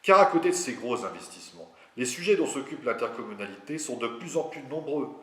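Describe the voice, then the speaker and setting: A man's voice: medium pitch, big pitch swings, a little fast, bright, forceful tone. A man giving a prepared political address as a local politician, speaking in a formal, didactic manner. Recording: echoey room.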